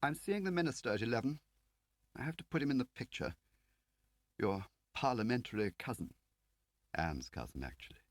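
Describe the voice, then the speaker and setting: A man's voice: medium pitch, lively intonation, moderate pace, pauses often, no room sound, voice pushed up, natural voice. A man voicing a haughty, pompous senior government official, in an audiobook. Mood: arch. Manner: pompous, drawling emphasis. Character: haughty, fussy senior government official, upper-class Englishman.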